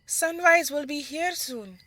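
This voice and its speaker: A woman's voice: high voice, slow pace, fairly bright tone, no echo, pitched above the performer's own voice. A woman voicing an eager, curious young girl, a younger sister, in an audio drama story. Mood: excited.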